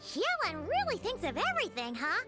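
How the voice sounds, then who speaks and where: Squeaky, excitable little fairy girl. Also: moderate pace, over loud music, full character voice. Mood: curious, wary. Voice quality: squeaky, childlike. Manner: excitable, refers to herself in third person. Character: small, excitable, worried fairy companion girl. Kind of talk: video game character acting.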